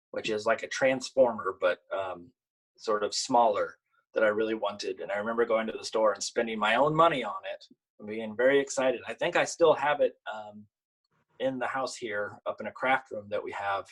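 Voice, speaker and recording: A man who works as a children's author, talking casually and explaining in a halting, conversational way during a storytime. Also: lowish voice, a bit bright, husky, poor audio. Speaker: picture book author and illustrator. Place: at home, online video event.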